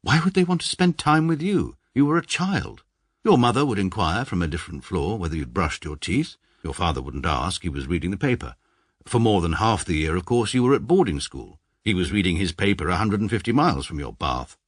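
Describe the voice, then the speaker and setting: A man's voice: low voice, animated, a little fast, breathy edge, no echo, clean studio sound. A man playing a middle-aged, upper-class English barrister who narrates his life formally and pedantically in a radio drama. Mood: wry, exasperated. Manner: formal, pedantic, precisely worded. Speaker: narrator and lead character. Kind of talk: scripted first-person radio drama narration.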